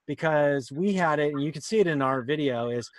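A man's voice: fairly high pitch, a little fast, dry recording. A man presenting a workshop on a video call, casually explaining things and answering questions. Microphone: computer mic on a video call.

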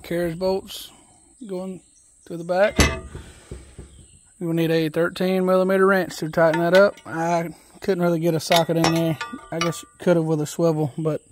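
A man casually walking through an assembly job outdoors, reading steps aloud and thinking out loud in a relaxed, folksy way, recorded on a phone camera held close. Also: a little high, moderate pace, background noise. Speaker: DIY video host. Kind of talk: casual step-by-step assembly walkthrough. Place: outdoors on a wooden deck.

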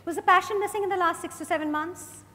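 A woman's voice: very high-pitched, quick pace, sharp tone. A woman on stage playing a probing, commanding female investigator, with pointed and insistent questioning. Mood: brisk, commanding. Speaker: stage actor. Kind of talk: dramatized play reading on stage. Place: large hotel ballroom stage. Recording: echoey hall from far off.

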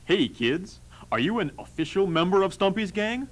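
A fairly high, swinging sales voice, put on by a man for a parody children's commercial. The pitchman is enthusiastic and peppy throughout. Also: big pitch swings, normal speed. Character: upbeat salesman pitching a kids' club membership.

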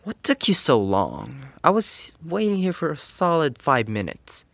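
A man's voice: on the high side, very animated, a little slow, gravelly, a bit bright, no echo, full character voice. A young man playing a grumpy, teasing tsundere teenage best friend in an ASMR roleplay, scolding in an exasperated, whiny way. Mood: annoyed.

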